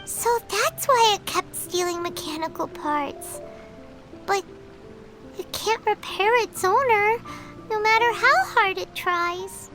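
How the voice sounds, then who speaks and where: A woman voicing a small, excitable fairy-like companion in a studio game, speaking in a high childlike squeak and quick to question or protest. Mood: curious. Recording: game audio with music underneath.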